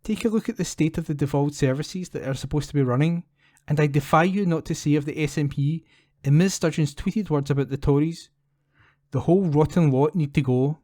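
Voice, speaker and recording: A man's voice: on the high side, a little fast, mellow, dry recording, clean studio sound. A man reading newspaper letters aloud as a volunteer for a talking newspaper, recorded at home. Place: at home, indoors.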